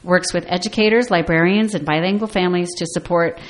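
A woman's voice: fairly low pitch, a little fast. A woman hosting a conversational radio interview in an upbeat, encouraging way. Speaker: radio show host.

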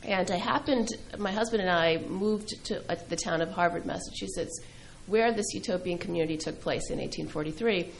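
A middle-aged woman who grew up speaking Greek, a poet answering interview questions thoughtfully, with many pauses and fillers. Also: lowish voice, gravelly, a little hiss or hum, poor audio. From New England. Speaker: poet and filmmaker guest.

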